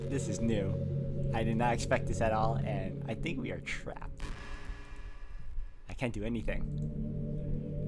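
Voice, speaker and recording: A man plays through a visual novel and comments as he goes, with loud game music behind him. He talks casually and haltingly, often pausing as he works out what to say. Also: on the low side. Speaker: gaming video host. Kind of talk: visual novel playthrough, reading dialogue aloud with casual reactions.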